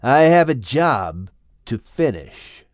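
A menacing king cobra in an audiobook tale. The delivery is extremely slow and drawn out, swooping up and down as it gloats and taunts. The sound is dry and close. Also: medium pitch, very animated, dry recording. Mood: gloating, sinister. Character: menacing, boastful male king cobra villain. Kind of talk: dramatized children's audiobook acting.

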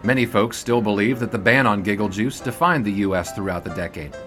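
Casting: male actor as cocky 1920s newspaperman, low register, wry period storyteller, audio drama with music underneath. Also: fairly quick, natural voice. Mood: wry, reflective. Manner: wry, storytelling, old-fashioned phrasing. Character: 1920s sportswriter, cocky, flippant charming man looking back. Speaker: narrator and lead character. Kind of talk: scripted period audio drama narration and dialogue.

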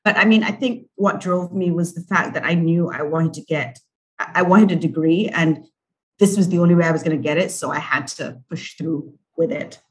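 A woman's voice: low voice, normal speed, rough recording. This is a woman. She speaks as an interview guest, giving a personal account in a conversational style. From Barbados. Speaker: podcast guest, corporate event organizer.

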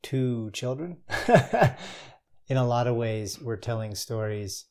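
A man's voice: medium pitch, steady tone, slow, dark tone. A man hosting a thoughtful, conversational interview, phrasing his questions in a tentative, musing way. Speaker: interviewer, host.

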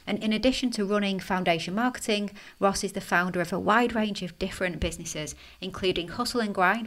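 A woman's voice: lowish voice, a bit bright. A woman with a British accent, born in Canada and raised in the UK, hosting a podcast in an upbeat, chatty way with frequent tag questions. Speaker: podcast host. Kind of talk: scripted intro then interview chat.

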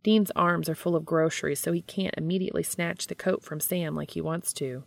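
A woman's voice: low-pitched, steady tone, brisk, gravelly, dry recording, studio quality. A woman reading fan fiction aloud as scripted story narration.

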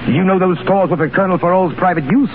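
An actor playing a gruff, easily amused Foreign Legion commandant in 1930s radio style, barking out clipped questions. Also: male voice, high-pitched, fast talker, phone-quality sound, close to an everyday voice. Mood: amused, approving. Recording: thin, noisy 1930s radio recording.

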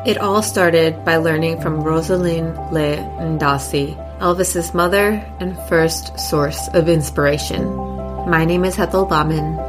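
A woman's voice: low voice, fairly even intonation, a little slow, over music. A woman hosting a podcast, opening with a scripted introduction and talking in an enthusiastic, upbeat way. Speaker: podcast host. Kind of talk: scripted intro then interview.

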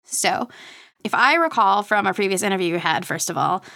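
A woman's voice: medium pitch, animated, fairly quick, creaky, pushed and bright, dry recording. A woman hosting a podcast interview in a casual, chatty conversational style. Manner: informal, chatty. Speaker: podcast host interviewing a guest.

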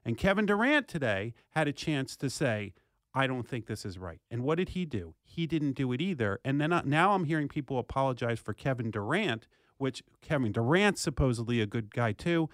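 A man's voice: medium pitch, sing-song, brisk, no echo. Emphatic, argumentative man on sports talk. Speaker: sports talk show co-host. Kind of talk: opinionated sports debate.

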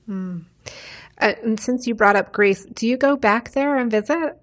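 A woman's voice: a little high, normal speed. A woman with an American accent hosting a casual, conversational podcast interview. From United States.

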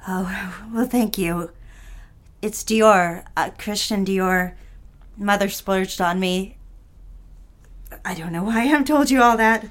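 Casting: female actor for soft-spoken young office woman, mid-range voice, nervous yet coy, period romantic audio drama. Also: mid-range voice, takes it slowly, pauses often, lightly acted. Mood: flustered.

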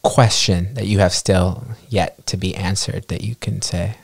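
A man, hosting a casual conversational interview in a tentative, halting way as he gathers his thoughts. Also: low-pitched, fairly even intonation, gravelly, husky, no echo. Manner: tentative, halting, attentive listener. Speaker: podcast host, interviewer.

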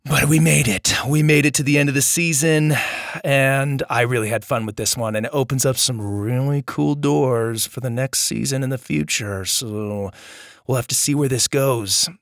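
Hoarse man hosting a kids' podcast. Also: medium pitch, steady tone, normal speed, no room sound, studio quality. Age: adult with young children. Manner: upbeat and friendly, addressing kids directly. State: hoarse, losing his voice. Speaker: children's podcast host and storyteller. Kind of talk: casual outro chat to young listeners.

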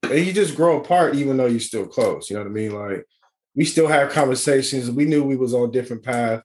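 Brief: man, 40s, relaxed slangy talker, mid pitch, easy laughs. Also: a little fast. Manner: laid-back, slangy, laughs often. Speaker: panel guest. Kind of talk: casual group chat.

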